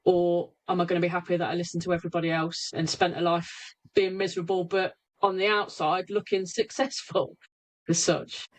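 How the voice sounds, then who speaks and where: A woman's voice: lowish voice, flat delivery, brisk, low-quality recording. A woman, a hypnotherapist guest on a remote podcast, talking candidly and often restarting her sentences. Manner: candid, self-correcting, frequent restarts. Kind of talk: reflective interview talk. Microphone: remote call recording.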